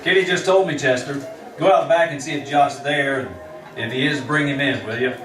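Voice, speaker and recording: An actor playing a terse, authoritative American frontier marshal in an old-time radio western. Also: male voice, medium pitch, brisk, fairly bright tone, faint music behind, lightly acted. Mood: dry, easy. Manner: terse, authoritative, plain-spoken. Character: steady, commanding frontier marshal, dry and firm. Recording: roomy, distant vintage radio recording with voices.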